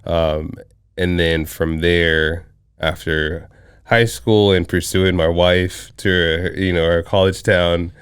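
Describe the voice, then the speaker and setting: Thirties man from Zambia, very low smooth voice, relaxed podcast guest, dry close mic. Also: takes it slowly, no echo. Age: 30s. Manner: relaxed, reflective, with frequent fillers and restarts. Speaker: guest, coffee shop owner. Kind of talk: casual interview conversation.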